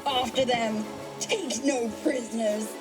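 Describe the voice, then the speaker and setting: A woman's voice: a little high, fairly even intonation, slow. A woman voicing a gleefully sadistic genie villainess in an audio drama, with a theatrical, singsong, taunting manner. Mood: mocking, sadistic. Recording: music under the voice. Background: music.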